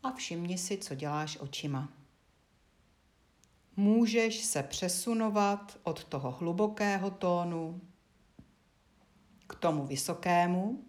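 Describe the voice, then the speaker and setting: A woman instructor guiding a body-awareness lesson, giving short spoken directions with frequent pauses. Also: lowish voice, expressive, a little mellow.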